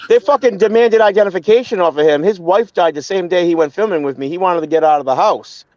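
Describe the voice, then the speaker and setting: A man's voice: high voice, fast talker, darkish tone, dry recording, muffled recording. A man on a live online panel who talks bluntly and with heat, often stumbling and repeating words. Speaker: panel guest. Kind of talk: heated casual live-stream chat.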